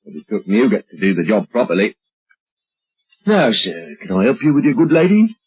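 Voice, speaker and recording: Husky British village constable. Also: male voice, on the low side, wildly swooping pitch, moderate pace, heavily acted. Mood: matter-of-fact, grim. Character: inquisitive village police constable, gossipy and matter-of-fact. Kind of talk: old radio drama acting. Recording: dull old radio recording.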